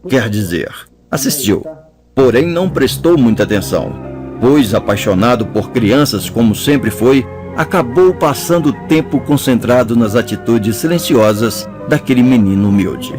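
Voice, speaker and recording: Man in a measured audiobook reading. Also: mid-range voice, lively intonation, music in the background. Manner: measured, storytelling. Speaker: audiobook narrator. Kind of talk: scripted narration.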